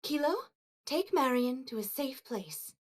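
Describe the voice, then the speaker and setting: A woman's voice: high-pitched, expressive, measured pace, breathy edge, heavily acted. A woman playing an earnest comrade giving orders in a video game.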